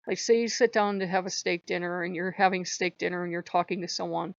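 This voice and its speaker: A slightly hoarse woman hosting her own channel, talking in an earnest, rambling way and repeating phrases for emphasis. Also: on the low side, flat delivery, quick pace, no echo, dull audio. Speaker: channel host. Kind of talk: casual spiritual vlog monologue.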